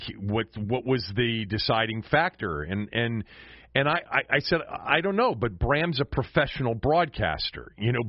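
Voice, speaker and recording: An American man hosting a sports podcast in a conversational way, often repeating words as he goes. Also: fairly low pitch. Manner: halting, repeats words while forming questions. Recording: slightly dull, roomy sound.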